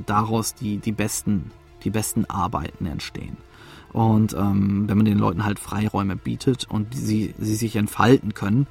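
Low, creaky-voiced man in an interview. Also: darkish tone. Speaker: audio drama producer, interview guest. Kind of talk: unscripted interview talk.

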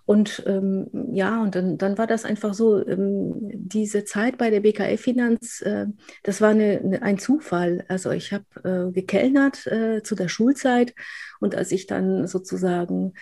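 A woman from Poland talks in a Polish accent with a rolled R, her voice slightly husky. She tells her own story candidly as an interview guest, often restarting her sentences and filling pauses. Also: medium pitch, dark tone. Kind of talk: casual interview talk.

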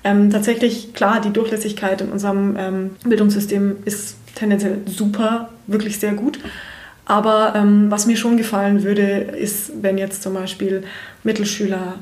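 A woman's voice: medium pitch, little pitch movement, normal speed, darkish tone. A young woman from Bavaria, a school teacher, answering interview questions in a frank, chatty way and laughing easily. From Bavaria, Germany. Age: young adult. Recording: roomy echo.

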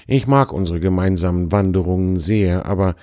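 A man voicing a gentle, thoughtful male fox who talks softly and hesitantly. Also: low-pitched, moderate pace, dry recording, clean studio sound, close to an everyday voice. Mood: regretful. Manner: soft, hesitant, musing.

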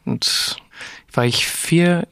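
A man's voice: fairly high pitch, drawn-out words, vocal fry, a bit bright, no room sound. A young man from France with a French accent, a tenor talking casually in German as an interview guest and searching for words. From France, raised in Geneva. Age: young adult.

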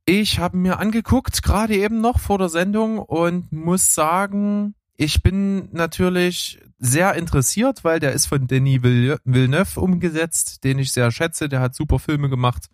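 A man's voice: on the high side, normal speed, few pauses, no echo, clean studio sound. A man chatting casually about films and trailers as a co-host, rambling with many fillers. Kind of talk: casual film chat.

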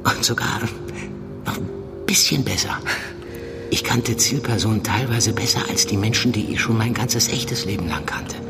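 A low-pitched, seasoned undercover police handler in a naturalistic audio drama. He is a controlled, probing mentor who places his phrases deliberately, with music clearly audible behind him. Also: animated. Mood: earnest, understanding. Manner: measured, deliberate, pausing between phrases. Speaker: actor in fiction podcast.

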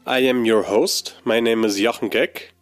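Male podcast host, mid-pitch, dry close sound, friendly and curious. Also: fairly even intonation, measured pace, no room sound, soft background music. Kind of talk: scripted intro, then interview chat.

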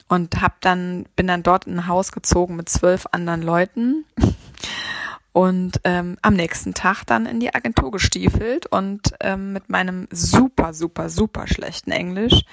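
Chatty woman with a gravelly voice. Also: lowish voice, dry recording. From Saarland, Germany. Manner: chatty, many fillers, lively retelling. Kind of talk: casual personal storytelling.

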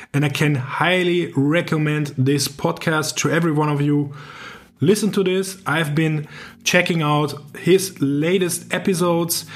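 A man hosting a podcast interview in English with a German accent, speaking earnestly with frequent fillers. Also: a little high. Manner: earnest, halting, many fillers. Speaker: podcast host.

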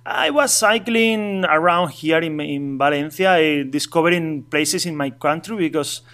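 A man from Asturias with a Spanish accent, speaking halting non-native English as an interview guest, with many restarts and light chuckles. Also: a little high, a bit bright. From Spain, Asturias. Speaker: guest, traveling cyclist. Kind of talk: casual remote interview.

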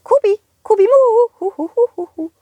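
A very high, swooping little ghost for a children's audio play. It is timid and friendly and babbles in short, repeated cooing syllables. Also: female voice, expressive, normal speed, warm tone, dry recording. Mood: eager cooing.

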